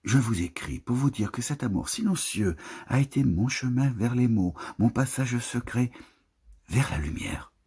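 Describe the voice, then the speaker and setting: A man with a husky, breathy voice reads poetry in a solemn, lyrical manner, lingering over the phrases of a literary text. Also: medium pitch, animated, unhurried, a little mellow. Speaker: poetry reader. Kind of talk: literary poetry recitation, scripted narration.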